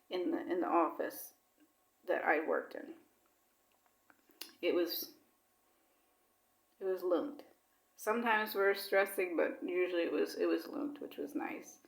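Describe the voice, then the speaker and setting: Woman, casual vlog talk, rambling, roomy echo. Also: on the low side. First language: English. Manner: chatty, rambling, with many fillers; mixes in Swedish words. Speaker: vlogger. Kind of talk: casual personal chat.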